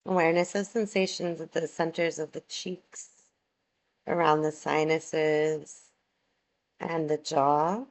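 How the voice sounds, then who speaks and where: A woman guiding a yoga relaxation meditation, giving soothing, measured instructions with long pauses between phrases. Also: low voice, level tone, poor audio.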